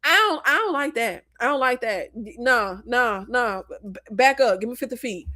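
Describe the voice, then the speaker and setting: A young woman from Florida with a Floridian Southern lilt in her slang, hosting a casual live chat about movies, emphatic and quick to leap up in pitch when exasperated. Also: sharp tone, no room sound, clean studio sound. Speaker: live stream host discussing movies. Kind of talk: casual live chat reading viewer comments.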